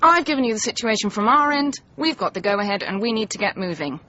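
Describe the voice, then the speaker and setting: Lively, gushing female TV executive. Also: medium pitch, quick pace, muffled recording, a little hiss or hum. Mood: flattering. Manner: gushing, jargon-laden salesmanship. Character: eager, flattering television development executive, woman. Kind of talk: radio comedy drama acting.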